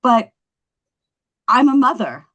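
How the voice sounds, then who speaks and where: A woman's voice: fairly high pitch, sing-song, slow, fairly bright tone, exaggerated voice. A woman at a script table read playing a flustered, protesting young housewife and mother, with an incredulous manner.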